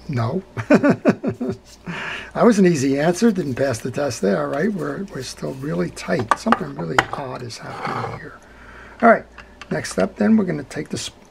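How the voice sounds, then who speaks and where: A man's voice: medium pitch, vocal fry, noisy background. A middle-aged man hosting a hands-on fishing reel repair tutorial at his workbench, talking it through step by step in a folksy way. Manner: talkative, folksy, explains step by step with "right?" checks. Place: indoor workbench.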